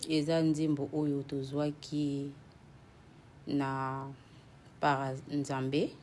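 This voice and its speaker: A gospel singer with a Congolese French accent and a very low, dark voice speaks easily in a TV interview. She mixes French with Lingala and sounds relaxed as she answers. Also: very slow, slight background noise. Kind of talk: TV talk show interview.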